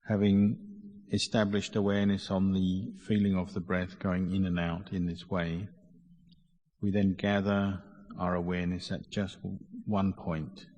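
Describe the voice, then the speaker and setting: A man teaching guided meditation with measured, deliberate phrasing and frequent pauses. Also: low voice, little pitch movement, a little mellow. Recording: long hall reverb.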